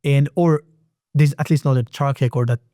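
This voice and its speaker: A man's voice: medium pitch, fairly even intonation, moderate pace, warm tone, dry recording, clean studio sound. A man from Israel with an Israeli accent, a native Hebrew speaker, explaining lending as a podcast guest with frequent restarts. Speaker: private real estate lender, guest. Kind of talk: casual podcast interview.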